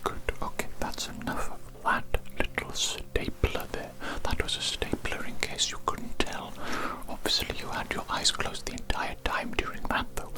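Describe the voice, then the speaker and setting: A person whispering in a breathy, unvoiced voice, playing a doctor in an ASMR hearing-test roleplay with coaxing, repetitive patter.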